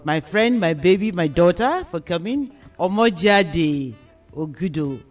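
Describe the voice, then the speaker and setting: Mature woman, Nigerian accent, radio host, measured and emphatic, formal phrasing, music behind. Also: lowish voice. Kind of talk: moderating and addressing listeners in a radio panel discussion.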